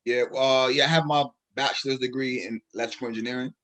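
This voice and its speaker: A man's voice: medium pitch, fairly even intonation, fast, a bit bright, rough recording. A man from Brooklyn with a Bajan accent, talking as a poet guest in a radio interview, with lots of restarts and fillers. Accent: Barbadian (Bajan). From Brooklyn, New York. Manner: hesitant, many restarts and fillers.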